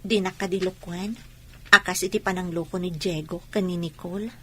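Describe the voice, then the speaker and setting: A woman's voice: fairly low pitch, fairly even intonation, normal speed, bright, forceful tone, husky. A woman acting a questioning, coaxing young woman in love in a radio drama, with a Filipino accent.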